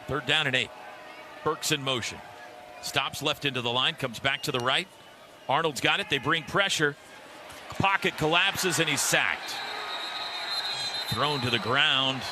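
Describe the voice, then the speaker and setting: A man's voice: medium pitch, a little slow, pauses often. An American man calling live football play-by-play for radio in clipped, punchy phrases. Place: outdoor football stadium. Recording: clearly noisy outdoor stadium broadcast.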